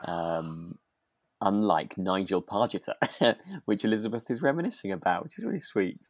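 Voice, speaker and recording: A man's voice: lowish voice, expressive, normal speed, dry recording, studio quality. A man co-hosting a podcast in casual conversation, often trailing off and rephrasing as he goes. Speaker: podcast co-host. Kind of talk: casual chat recapping a radio drama.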